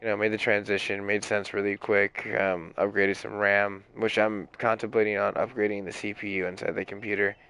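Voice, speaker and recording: A young man streaming games while sick, with a raspy, hoarse voice, rambling in casual chat full of fillers and swearing. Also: on the low side, flat delivery, a little fast, few pauses, bright, forceful tone. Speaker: game streamer.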